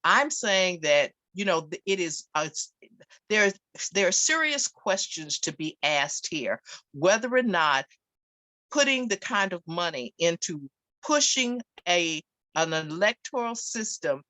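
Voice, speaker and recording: A woman panelist in a debate, speaking deliberately and emphatically, repeating her phrases. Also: lowish voice, very animated, bright, forceful tone, dry recording, low-quality recording.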